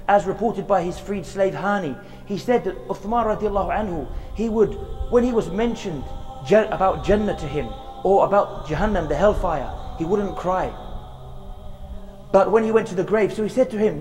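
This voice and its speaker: A man's voice: sits high, darkish tone, big-room sound. A man in his thirties giving an earnest religious reminder outdoors in a graveyard, pleading with rhetorical questions, on a lapel mic. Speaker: preacher.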